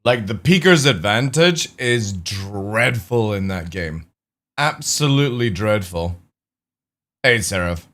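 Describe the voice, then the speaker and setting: A man's voice: fairly low pitch, expressive, slow pace, a bit bright. A young man streaming, chatting casually with viewers, swearing now and then, his voice a little crackly. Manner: casual, swears, greets chat by name. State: slightly crackly voice.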